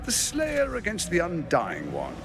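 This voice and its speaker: A smug scheming noble villain. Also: male voice, sits high, wildly swooping pitch, a little slow, music in the background, full character voice. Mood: sneering. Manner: sarcastic, condescending, polished. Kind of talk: video game cutscene acting. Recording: game audio with loud score.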